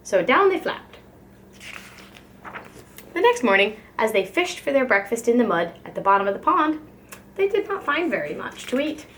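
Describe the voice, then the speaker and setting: A woman's voice: a little high, sing-song, moderate pace, pauses often, a bit bright, slight background noise. A woman reading a children's picture book aloud like a preschool teacher, expressively and with care for young listeners.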